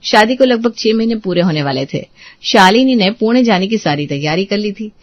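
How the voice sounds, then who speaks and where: Female narrator, scripted storytelling, mid-pitched, very dry, dull muffled recording. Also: animated, moderate pace, dry recording.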